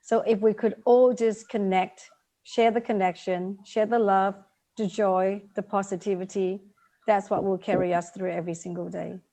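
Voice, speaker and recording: A woman's voice: mid-range voice, fairly even intonation, normal speed, warm tone. A woman in her forties from Vietnam, a guest author in a live video call interview, speaking earnestly, repeating key words and laughing lightly.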